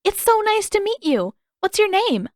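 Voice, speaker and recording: Woman voicing an eager, high-pitched teenage girl. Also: moderate pace, no room sound, clean studio sound. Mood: excited, friendly. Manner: excitable, friendly.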